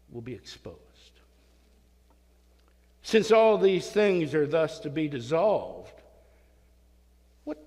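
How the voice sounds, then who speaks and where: Animated older pastor in a reverberant church. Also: male voice, sits high. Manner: animated, gesturing while pacing, folksy and self-mocking. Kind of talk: sermon with scripture reading and storytelling. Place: small church sanctuary. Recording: long reverb of a hall.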